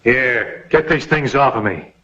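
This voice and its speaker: Stagecoach bandit: indignant, defiant, mid-range voice, dull old film sound. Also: male voice, medium pitch, lively intonation, a little slow. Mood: scornful. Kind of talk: old-time western television drama acting. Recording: muffled vintage soundtrack, loud music.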